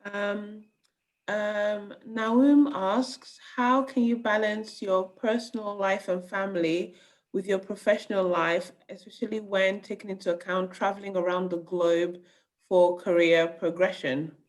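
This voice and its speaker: A woman's voice: medium pitch, steady tone, measured pace, poor audio. Hesitant woman reading out questions. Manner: hesitant, with many fillers. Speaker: moderator reading audience questions.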